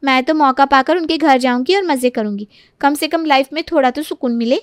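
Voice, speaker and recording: A woman's voice: high voice, a little fast, no echo, studio quality, voice pushed up, close to an everyday voice. A woman voicing a sweet, put-upon young daughter-in-law who chats girlishly in a moral storybook tale. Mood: chirpy. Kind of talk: animated moral storybook dialogue.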